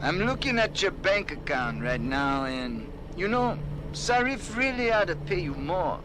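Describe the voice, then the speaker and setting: Cocky, slow-drawling hacker informant. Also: male voice, sits high, big pitch swings. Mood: smug, taunting. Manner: taunting, drawn-out, breezy. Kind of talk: video game voice acting. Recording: noisy vehicle ambience.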